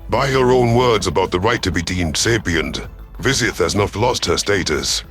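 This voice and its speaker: A man voicing a solemn, remorseful reptilian alien predator with measured, formal speech in an audio sci-fi story. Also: sits low, animated, fairly bright tone, soft background music, pitched below the performer's own voice.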